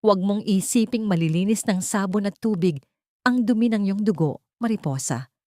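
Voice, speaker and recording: A woman's voice: mid-range voice, moderate pace, dark tone, dry recording, clean studio sound, natural voice. A woman voicing a cruel, haughty older rich matriarch in an audiobook drama, imperious and scornful, with sweetness laced with venom.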